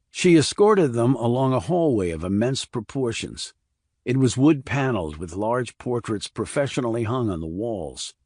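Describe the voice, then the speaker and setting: A man reading a scripted thriller audiobook in a measured, descriptive storytelling manner. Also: mid-range voice, darkish tone, dry recording, studio quality. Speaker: audiobook narrator.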